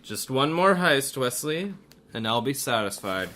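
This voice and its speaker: An actor in a radio mystery playing a grandiose, greedy criminal mastermind, a gloating hypnotist doctor with a theatrical delivery. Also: male voice, medium pitch, big pitch swings, a little slow, bright, forceful tone. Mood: businesslike. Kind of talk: radio mystery drama acting.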